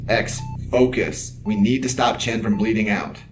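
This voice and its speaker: A man's voice: on the low side, fairly even intonation, measured pace, music in the background, close to an everyday voice. A man playing a focused, practical spaceship crewman in an audio drama, terse and matter-of-fact. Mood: controlled urgency. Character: focused, level-headed male spaceship crewman under pressure.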